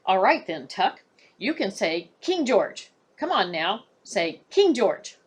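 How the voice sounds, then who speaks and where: Storybook boy, anxious and urgent, coaxing his bird, read by a woman; breathy, lively pitch. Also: fairly quick. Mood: pleading. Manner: pleading, urgent. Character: anxious young boy, raven's devoted master.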